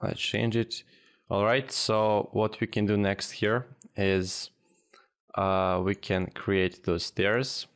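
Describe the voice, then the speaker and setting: A man teaching a software tutorial over a screen recording, thinking aloud with frequent pauses and restarts. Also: deep voice, gravelly. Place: at a computer, voice-over of screen capture.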